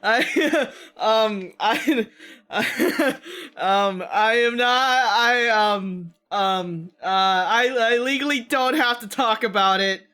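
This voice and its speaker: A woman's voice: a little high, takes it slowly, a bit bright, smooth. A woman with a Midwestern American accent chatting on a stream, giggling and stammering through her restarts. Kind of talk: casual stream chat.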